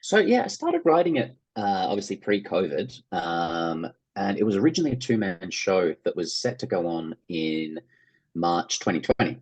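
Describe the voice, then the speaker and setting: A man's voice: deep voice, very animated, normal speed, darkish tone, husky, poor audio. An Australian man speaking casually as an interview guest, rambling and hedging as he goes. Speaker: interview guest, playwright and actor.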